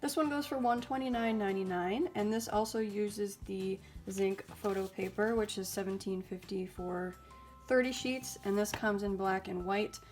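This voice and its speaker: A young woman with a mid-range voice chats through a casual product review, friendly and full of little ums and likes. A lingering cold leaves her slightly raspy and stuffy, and faint music plays quietly under her talk. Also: medium pitch, fairly even intonation, normal speed. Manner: chatty and upbeat, with frequent ums and likes. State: slightly raspy and stuffy, getting over illness. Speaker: YouTube host reviewing mini printers.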